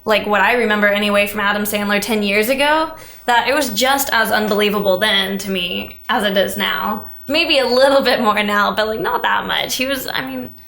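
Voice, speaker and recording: A woman's voice: mid-range voice, moderate pace, gravelly, bright, forceful tone, slight background noise. A woman on a podcast panel, chatting casually as she reviews a movie. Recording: echoey, roomy sound.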